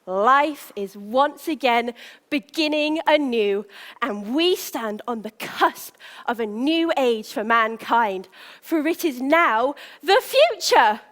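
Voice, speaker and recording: Young panto prince female performer: high-pitched, slow, theatrical delivery, self-important and lovestruck, live in a reverberant hall. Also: animated, fairly bright tone, exaggerated voice. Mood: cheerfully grandiose. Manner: theatrical, declamatory, addressing the crowd with dramatic pauses. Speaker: stage actor. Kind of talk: live pantomime monologue to the audience. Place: large indoor theatre stage.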